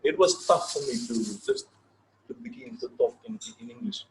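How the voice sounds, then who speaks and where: Give me a Fijian man, Fijian his first language, teaching in a slow, halting, repetitive way. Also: high-pitched, sing-song, vocal fry, slight background noise, poor audio. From Fiji. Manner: halting, repeats words, pauses, checks in with listeners. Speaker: session leader. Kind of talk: informal lecture.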